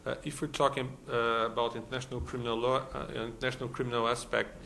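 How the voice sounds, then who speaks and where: A man from Brazil speaks English with a Brazilian Portuguese accent at a conference. His voice sits at a middling pitch, and he talks haltingly, full of "uh"s and restarts. A faint hiss runs under the recording. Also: little pitch movement, gravelly, sharp tone. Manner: hesitant, many fillers and restarts. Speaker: law professor, conference panelist. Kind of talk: conference talk. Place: conference hall.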